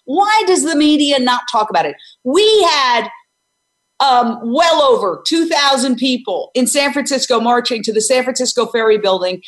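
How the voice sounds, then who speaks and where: A woman's voice: high voice, expressive, moderate pace. A woman in her sixties hosting an interview, talking energetically and emphatically, often posing questions and answering them herself. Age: 60s. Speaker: host; former news reporter and animal rights activist. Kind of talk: energetic interview and advocacy talk.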